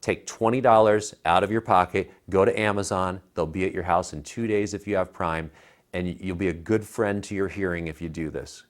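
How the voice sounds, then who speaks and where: Low-pitched, emphatic American man. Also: steady tone, fairly quick. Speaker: tech reviewer, show co-host. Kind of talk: casual tech review chat. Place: living room at home. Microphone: clip-on lapel mic.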